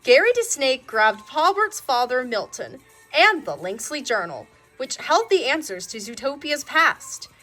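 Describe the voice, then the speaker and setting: A woman reading a children's storybook aloud in an expressive storytelling style, as narrator. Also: high-pitched, measured pace, faint music behind. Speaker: storybook reader.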